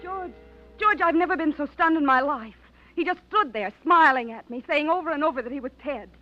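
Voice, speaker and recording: An actress playing Helen, a wealthy young woman who is sharp, suspicious and accusing, in a 1940s radio drama. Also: high voice, brisk, faint music behind. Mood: distraught. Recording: dull vintage radio recording.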